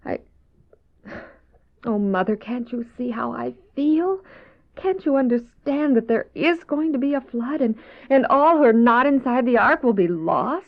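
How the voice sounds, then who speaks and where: A devout eldest daughter in an old radio drama. Her voice is fairly high, earnest and pleading, often breaking off mid-thought. The recording is muffled and lo-fi. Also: expressive, measured pace. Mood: pleading, sorrowful. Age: young adult. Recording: dull lo-fi cassette radio drama.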